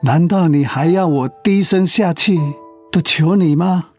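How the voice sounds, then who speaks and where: A man playing a weary, proud elderly father in a radio drama, with a husky voice. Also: fairly high pitch, a little slow, darkish tone, faint music behind. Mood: pleading. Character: weary elderly father, proud but pleading, soothing husband.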